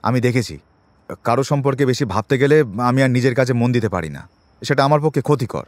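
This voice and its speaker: A man's voice: mid-range voice, a little fast, dry recording, close to an everyday voice. An actor in an audio drama playing a defensive middle-class man who explains himself at length to the police, mixing in English words. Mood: defensive, uneasy. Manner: wordy, self-justifying, mixes in English words.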